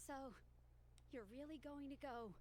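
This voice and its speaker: A woman's voice: high-pitched, fairly even intonation, normal speed, a little mellow, a little hiss or hum, lightly acted. A woman playing a worried, exasperated teenage girl, someone's sister, in a video game. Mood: worried, hurt.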